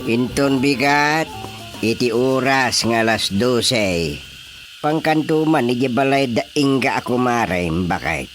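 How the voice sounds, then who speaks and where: A man's voice: mid-range voice, animated, slow, a bit bright, faint music behind. A Filipino man playing a bossy, scheming village council chairman in a comedic radio drama. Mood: conspiratorial.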